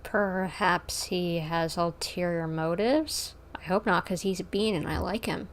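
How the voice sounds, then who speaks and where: A woman livestreamer giving casual game commentary, chatty, expressive and gushing as she reacts. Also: fairly low pitch, measured pace.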